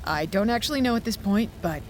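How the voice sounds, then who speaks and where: A woman's voice: medium pitch, expressive, fairly quick, heavy background noise, close to an everyday voice. An actress playing an obsessive, analytical woman scientist in an audio drama, precise and prone to swearing. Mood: shaken, practical.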